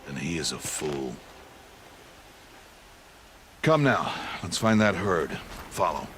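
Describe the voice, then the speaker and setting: An actor playing a stern, protective middle-aged father figure in a video game, teaching in a measured, commanding manner. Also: deep voice, sing-song, background noise, exaggerated voice. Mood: firm. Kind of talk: dramatic video game acting. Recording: loud music behind the voice.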